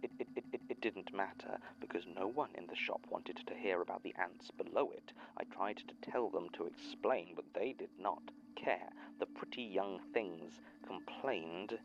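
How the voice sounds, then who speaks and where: A man voicing a disturbed, pleading statement-giver in a whispery, halting horror audio drama monologue. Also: low voice, creaky, highs cut off, pitched below the performer's own voice. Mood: haunted, wounded. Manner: whispery, halting, repeating words.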